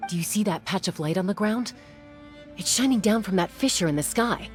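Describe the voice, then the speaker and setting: A woman voicing a calm, knowing, serious mystic guide in a video game, measured and explanatory. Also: medium pitch, faint music behind, lightly acted. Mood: solemn.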